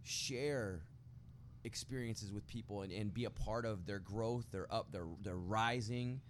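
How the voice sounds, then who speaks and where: Low-voiced male podcast host, noisy background. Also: unhurried. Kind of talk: casual podcast interview. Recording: clear background noise.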